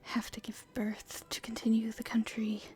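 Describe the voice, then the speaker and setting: A woman playing an earnest, hopeful young princess in a soft, halting, breathy whisper for an ASMR roleplay. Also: fairly high pitch, completely flat delivery. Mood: tender, wistful. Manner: halting, soft-spoken, thinking aloud.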